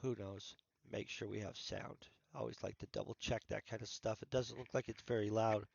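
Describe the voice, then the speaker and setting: A man's voice: fairly low pitch, steady tone, a little fast, creaky, a little mellow, husky, no room sound, highs cut off. Congested man rambling on a livestream. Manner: rambling, thinking aloud with restarts. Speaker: author streaming his writing session. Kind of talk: casual livestream chat.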